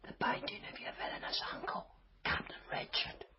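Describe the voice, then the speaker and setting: An actor playing a conspiratorial male servant in a period radio drama, hissing slowly with villainous relish. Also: highs cut off, natural voice. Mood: sinister, gloating. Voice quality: breathy hissing whisper. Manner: slow, relishing, melodramatic delivery.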